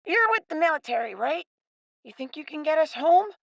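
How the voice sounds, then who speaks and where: Radio play young boy: very high, lively range, plucky and loyal, close dry sound. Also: moderate pace, creaky, no room sound, highs cut off, heavily acted. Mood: curious. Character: plucky, suspicious, loyal little boy castaway.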